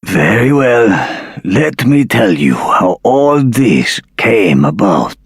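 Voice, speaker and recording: A grave elderly council elder of a dragon people in a fantasy game. The voice is husky and slow, with expressive swings and formal, measured phrasing. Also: male voice, lowish voice, no echo, clean studio sound, exaggerated voice. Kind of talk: scripted game voice acting.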